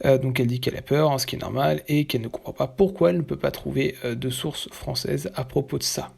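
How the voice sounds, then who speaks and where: Gravelly, husky-voiced man, halting. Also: medium pitch, steady tone, a little mellow. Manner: hesitant, halting, with many fillers and restarts; blunt and opinionated. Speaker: commentator. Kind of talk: casual reaction commentary.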